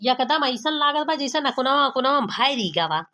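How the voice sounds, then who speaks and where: Woman voicing sharp, high-voiced village daughter-in-law. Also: expressive, moderate pace. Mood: scolding, disgusted. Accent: Awadhi. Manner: dramatic complaining, colloquial. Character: exasperated, embarrassed young village daughter-in-law, gossipy and scolding. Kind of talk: amateur cartoon comedy acting.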